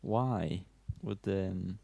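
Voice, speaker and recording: Male podcast co-host, lower-pitched, informal and slightly sweary, very dry recording. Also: extremely slow, creaky, dark tone, dry recording. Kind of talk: casual music review chat.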